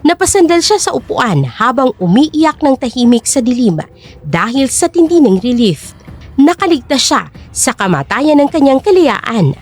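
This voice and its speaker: Woman, story narrator, high-pitched, animated intonation, dramatic scripted narration. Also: measured pace.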